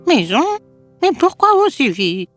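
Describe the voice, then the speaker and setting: A sly old cartoon witch, high-voiced and wildly swooping in pitch. She speaks in a theatrical, falsely sweet way, luring children in a fairy tale. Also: big pitch swings, a little slow, a bit bright, soft background music. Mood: coaxing. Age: older.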